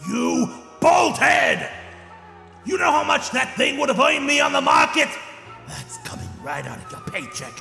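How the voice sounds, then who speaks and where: A man playing a greedy, hot-tempered goblin trade prince in an audio drama, with a gravelly voice and blustering, slangy delivery. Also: extremely high pitch, huge pitch swings, a little slow, full character voice. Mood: furious. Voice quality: gravelly, creaky. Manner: blustering, slangy wiseguy talk. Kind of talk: fan audio drama character acting. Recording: echoey hall reverb under loud music.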